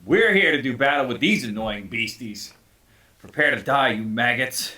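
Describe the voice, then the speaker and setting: A man's voice: mid-range voice, animated, moderate pace, sharp tone. Actor as boisterous, swooping suburban buddy guy. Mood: mock-furious. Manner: jokey, exclamatory, swooping delivery. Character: Vince, thirty-year-old leering, boisterous beer-drinking suburban neighbor. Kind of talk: screenplay table read.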